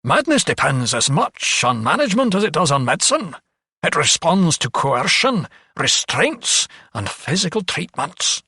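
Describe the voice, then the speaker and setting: Male narrator as haughty old asylum doctor; raised pitch, bright blustering delivery, storybook period drama. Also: sing-song, unhurried, slightly rough, no echo, clean studio sound, heavily acted. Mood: threatening. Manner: condescending, blustering. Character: elderly Scottish male physician, arrogant, dismissive asylum principal.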